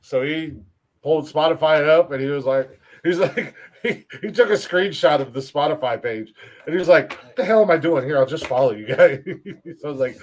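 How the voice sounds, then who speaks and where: A young man hosting a casual comedy podcast, loud and joking, swearing often and laughing a lot. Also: fairly high pitch, normal speed. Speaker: podcast host.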